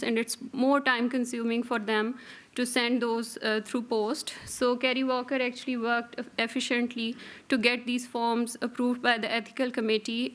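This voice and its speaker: A woman's voice: fairly high pitch, fairly bright tone. A woman in her thirties giving a conference presentation at a podium microphone, with a careful, hesitant delivery and frequent fillers. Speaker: registry coordinator presenting.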